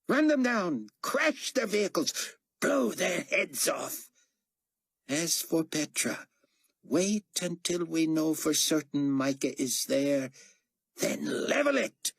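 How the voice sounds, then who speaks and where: A man's voice: a little high, expressive, a little slow, vocal fry, hardly any pauses, fairly bright tone, husky, no echo, studio quality, voice pushed up, heavily acted. A man voicing a raging, murderous male tyrant ruler, screaming orders and contempt at his underlings.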